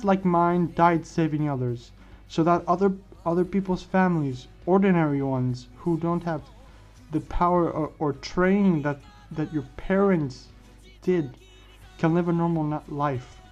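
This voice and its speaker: A man voicing an earnest higher-pitched teen boy hero. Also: a little mellow, natural voice. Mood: consoling, earnest. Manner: sincere, halting speech-making. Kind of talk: fan-fiction story dialogue. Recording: dull lo-fi mic, music behind.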